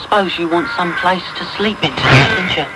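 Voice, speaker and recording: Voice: mid-range voice, a little fast, close to an everyday voice. An actor playing a cocky young London pickpocket boy in a radio drama, full of teasing slang, taped off a noisy radio. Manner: slang-heavy, teasing patter. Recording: noisy medium-wave radio taped off air.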